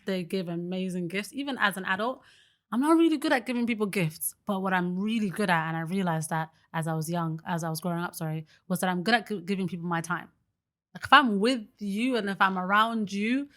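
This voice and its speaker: A woman's voice: medium pitch, bright, forceful tone. A young woman talking as a podcast guest in a casual, earnest way, full of fillers and easy laughter. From Britain. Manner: chatty and earnest, many fillers like "like" and "you know"; laughs easily. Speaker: guest, early childhood educator.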